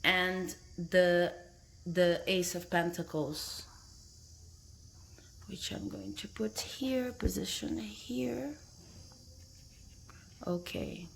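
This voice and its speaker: Brazilian lady doing a tarot vlog, lowish voice, phone mic w faint hiss. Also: steady tone, extremely slow, vocal fry, pauses often. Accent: Brazilian Portuguese. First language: Portuguese. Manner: friendly, tags sentences with "okay?", laughs between phrases. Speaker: tarot reader. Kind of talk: casual talk to camera.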